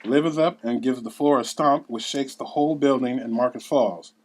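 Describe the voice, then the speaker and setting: A man's voice: mid-range voice, normal speed, a little mellow. A man narrating a script at a table read, reading out scene headings and action. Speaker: narrator reading stage directions.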